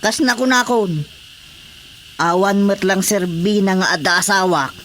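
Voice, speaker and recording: A man's voice: sits high, a little slow, fairly bright tone, slight background noise. A man playing a callous, stubborn husband, curt and complaining, in a radio drama. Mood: curt, defiant. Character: callous, stubborn husband fed up with his wife.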